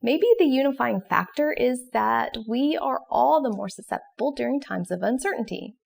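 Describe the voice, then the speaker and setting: A woman presenting educational material in scripted explanatory narration with casual asides, expressive and questioning. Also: on the high side, normal speed, vocal fry, husky. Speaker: presenter of educational psychology videos.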